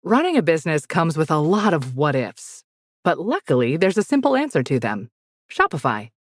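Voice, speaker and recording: A woman announcer with a low voice and very varied intonation reads scripted ad copy. The recording is close and dry. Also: big pitch swings, normal speed, no echo. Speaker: advertisement announcer. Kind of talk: scripted ad read.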